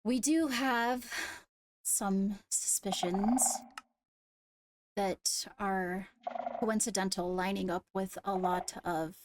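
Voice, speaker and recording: A woman playing a composed court leader in a Minecraft roleplay, speaking formally and haltingly as she gives a briefing. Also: mid-range voice, fairly even intonation, background noise, natural voice. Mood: measured, serious. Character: measured female court leader briefing on attacks. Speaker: roleplayer leading a court meeting.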